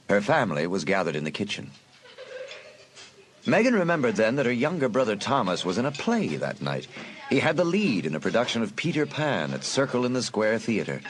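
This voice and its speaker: A man's voice: mid-range voice, a little hiss or hum. A man reading scripted, dramatic story narration for an audiobook.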